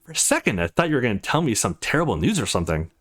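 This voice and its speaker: Man reading as a brash, animated teenage girl. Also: medium pitch, rapid-fire. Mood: amused, teasing. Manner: blunt, skeptical, excitable. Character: brash, teasing, foul-mouthed teenage girl best friend. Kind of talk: visual novel character reading.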